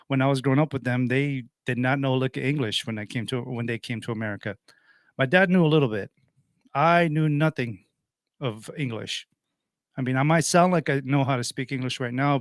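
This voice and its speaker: A man's voice: medium pitch, no room sound. A man in his forties from Portugal, a radio host telling his life story in a rambling way, restarting and correcting himself. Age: 40s. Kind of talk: personal storytelling monologue.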